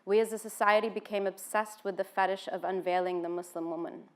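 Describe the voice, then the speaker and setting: American woman, talk speaker, relaxed conversational delivery, lots of "um"s, mid-pitched. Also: a little fast. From United States (Washington, D.C.). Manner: conversational, with frequent "um" fillers. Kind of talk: informal seated talk to an audience.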